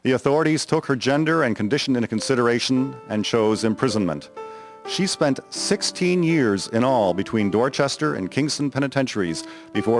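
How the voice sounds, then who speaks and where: A man giving a solemn, measured scripted narration of a historical drama. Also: mid-range voice.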